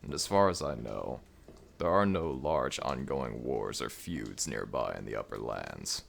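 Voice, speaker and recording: A man's voice: rumbling low, expressive, measured pace, vocal fry, voice pushed down, full character voice. A man voicing an ancient, weary and grumbling jackal god of the dead in a scripted audio roleplay, with a dry, imperious manner. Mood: weary, musing.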